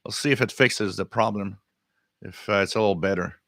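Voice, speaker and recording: Bright-voiced man hosting a livestream. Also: fairly low pitch, no room sound. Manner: halting, thinking aloud. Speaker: livestream host. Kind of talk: casual live stream chat, answering viewer comments.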